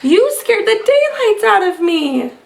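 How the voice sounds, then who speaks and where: A woman's voice: very high-pitched, sing-song, measured pace, gravelly, slight background noise, pitched above the performer's own voice, full character voice. A woman voicing a tiny old woman, a motherly storybook character who exclaims and scolds. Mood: startled. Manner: exclaiming, crying out.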